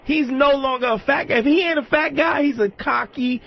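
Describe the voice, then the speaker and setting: A man's voice: extremely high pitch, normal speed, gravelly. A man in casual talk radio banter, giving his opinions bluntly and with swearing. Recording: muffled radio audio.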